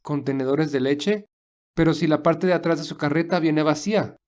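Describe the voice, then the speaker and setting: A man acts out a polite, resourceful young man from an audio drama. His voice sits a little high for a man. He speaks in an earnest, reassuring way. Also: normal speed, a little mellow, close to an everyday voice. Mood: courteous, persuasive. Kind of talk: audio story character dialogue.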